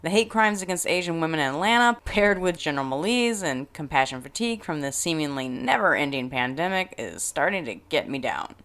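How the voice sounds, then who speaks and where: A woman's voice: sits low, expressive, a little fast, few pauses, a bit bright. A woman hosting a podcast in a casual, scripted monologue, speaking frankly and wryly with dramatic emphasis. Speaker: podcast host.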